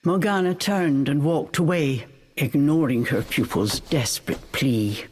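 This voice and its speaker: A woman narrating a fairy tale for a video game in an expressive, measured storytelling manner. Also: low voice. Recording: faint music behind the voice.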